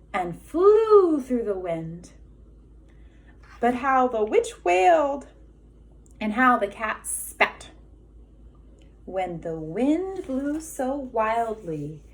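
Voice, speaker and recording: A woman kindergarten teacher reading a children's storybook aloud expressively, deliberate and emphatic, pausing for questions. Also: mid-range voice, a bit bright, rough recording.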